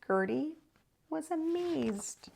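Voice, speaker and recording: A woman reading a children's picture book aloud as a storytime host, with expressive, drawn-out emphasis. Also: high voice, creaky, slight background noise.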